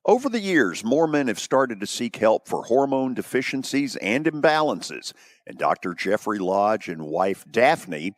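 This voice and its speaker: An American man hosting a radio show, speaking in the manner of sports talk and sponsor reads, with a husky voice. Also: mid-range voice, very animated, measured pace, no room sound.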